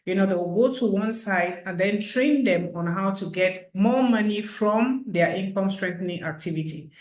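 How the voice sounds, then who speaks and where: A woman's voice: medium pitch, moderate pace, darkish tone, reverberant. A woman, a program coordinator speaking over a video call and explaining earnestly, like telling a story. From Cameroon.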